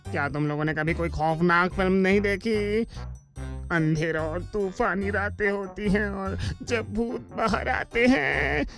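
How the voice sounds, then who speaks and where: A nervous young male cartoon sidekick, acted by a woman speaks at a middling pitch. He sounds hesitant and sceptical, grumbling with dry sarcasm over faint music. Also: a little slow, lightly acted. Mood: nervous, defensive. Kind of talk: animated comedy dub acting.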